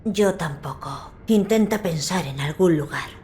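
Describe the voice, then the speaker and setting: A woman voicing a gentle young noble boy in a video game, calm and measured, with a Castilian Spanish accent. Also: on the low side, slight background noise. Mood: thoughtful.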